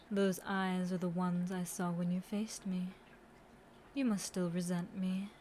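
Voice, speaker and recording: A seductive demon queen acted by a woman speaks slowly and grandly in an audio roleplay. She is haughty, scheming and taunting, every phrase delivered with deliberate villainous relish. Clear noise sits behind the voice. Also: mid-range voice, warm tone, lightly acted. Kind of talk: scripted roleplay audio drama monologue.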